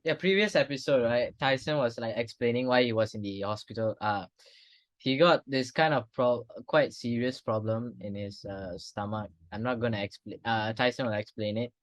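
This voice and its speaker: A man's voice: medium pitch, normal speed, no echo. A man hosting a casual podcast chat, talking hesitantly with many restarts. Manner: hesitant, restarts often, soft-spoken. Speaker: podcast host.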